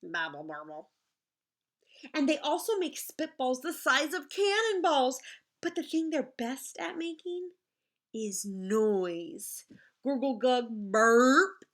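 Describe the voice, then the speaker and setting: A woman reading a picture book aloud in the manner of a teacher, expressive and explaining things simply to children. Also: high voice, slow. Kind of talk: animated children's read-aloud with asides to the listeners.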